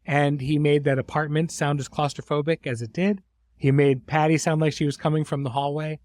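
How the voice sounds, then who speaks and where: A man's voice: a little high, level tone, fairly quick, a little mellow, no echo. A middle-aged man hosting a podcast in an informal, conversational way, effusive with his praise. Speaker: host and series creator.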